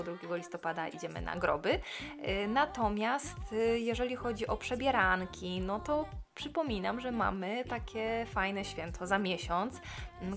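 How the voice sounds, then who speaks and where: A woman in her thirties, talking casually about cleaning her home in a relaxed voice-over with many fillers. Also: medium pitch, creaky. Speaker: cleaning vlogger.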